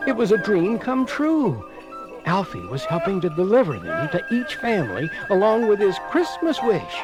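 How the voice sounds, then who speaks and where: A man's voice: high voice, normal speed, poor audio. A man narrating a children's storybook record in a theatrical, dramatic style. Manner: theatrical, animated. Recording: 1980 vinyl read-along record with music.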